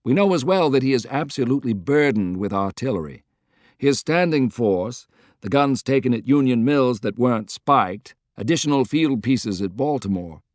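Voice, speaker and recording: A man's voice: medium pitch, lively intonation, normal speed, no room sound, clean studio sound, pitched above the performer's own voice, close to an everyday voice. A man voicing a loyal, good-humored adviser in an audiobook, briefing frankly and earnestly.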